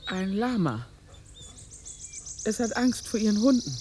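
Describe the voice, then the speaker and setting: A woman's voice: medium pitch, very animated, unhurried, noisy background. A woman playing a defiant old peasant woman in a German radio drama, curt and insistent as she guards her own ground. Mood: guarded. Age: older.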